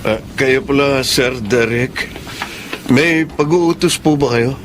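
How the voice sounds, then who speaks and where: A man's voice: mid-range voice, takes it slowly, background noise, natural voice. An actor playing a meek middle-aged ex-convict father working as a servant, deferential and hesitant, in a Tagalog radio drama. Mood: nervous, deferential.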